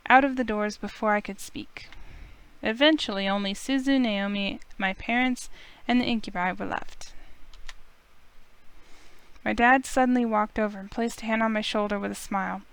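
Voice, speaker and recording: A woman's voice: medium pitch, a bit bright, clean tone. A woman reading a visual novel aloud as dramatic first-person narration, with music behind her. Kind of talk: dramatic narration of a game's text.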